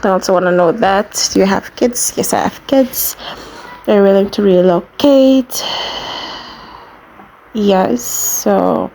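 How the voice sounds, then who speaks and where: Namibian woman with a creaky voice. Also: medium pitch, slow, long pauses, dark tone, slight background noise, rough recording. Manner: plain-spoken, explanatory, step by step. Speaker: YouTuber giving a how-to tutorial. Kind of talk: informal screen walkthrough, casual instruction.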